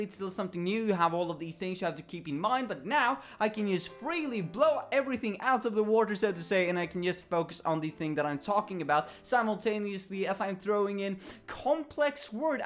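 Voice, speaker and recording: A man with a Swedish accent vlogging in a casual, rambling way, often correcting himself and filling pauses with ums and uhs. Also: sits high. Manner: rambling, self-correcting, lots of fillers and restarts. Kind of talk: casual talking-head reflection.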